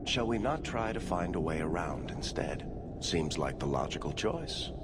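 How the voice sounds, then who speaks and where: An actor playing a sly, unruffled dwarf storyteller in a video game, talking in a dry, measured way. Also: male voice, very deep voice, lively intonation, noisy background. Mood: wry, teasing. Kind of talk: video game cutscene acting. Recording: loud music behind dialogue.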